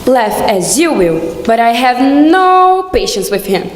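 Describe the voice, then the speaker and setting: An impatient yet playful Victorian wife played by a woman talks in a staged radio drama. Her voice is high and animated, taken slowly, with an echoey hall around it. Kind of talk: stage radio play acting. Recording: echoey hall, faint noise.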